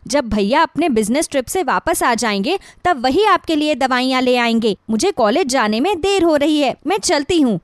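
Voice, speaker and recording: A woman's voice: sits high, animated, moderate pace, few pauses, no echo, studio quality. A woman playing a selfish, lazy, blunt college-age daughter in a moral story. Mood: irritated. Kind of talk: acted dialogue in a moral story.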